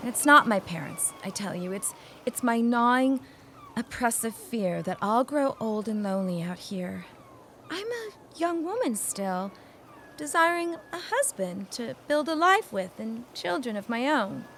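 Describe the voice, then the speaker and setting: An actress playing a refined young widow of 1916 in a period audio drama, speaking in genteel, formal, old-fashioned phrases. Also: female voice, fairly high pitch, sing-song, measured pace, a bit bright, heavily acted. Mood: anguished. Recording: clear background ambience noise.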